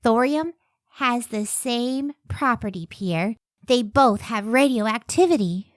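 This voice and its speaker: Woman as earnest, high-voiced lady scientist. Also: expressive, takes it slowly, no room sound, clean studio sound. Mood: excited, earnest. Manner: earnest, careful, emotive delivery. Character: earnest, modest, devoted woman scientist and wife. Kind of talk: old-time radio drama acting.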